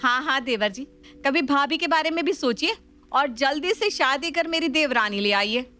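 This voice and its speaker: A woman voicing an excitable young daughter-in-law in a family story, playful, dramatic and gleefully bossy. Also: high voice, normal speed, pitched above the performer's own voice. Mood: excited.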